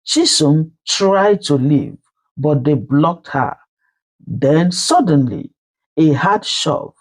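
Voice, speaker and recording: Nigerian folktale narrator, slow n dramatic, pitch goes all over, bit husky. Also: animated, rough recording. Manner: emphatic, theatrical, with dramatic pauses. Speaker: storyteller, channel narrator.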